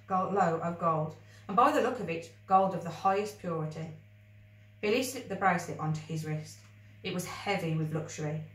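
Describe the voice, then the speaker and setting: A woman reading a children's story aloud in an expressive, scripted storybook style. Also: fairly low pitch. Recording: echoey room, distant mic.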